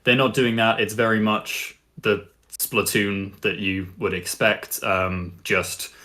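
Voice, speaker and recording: A man's voice: fairly low pitch, level tone, slow pace, bright, forceful tone. A man chatting casually as a co-host on a podcast. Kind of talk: casual chat.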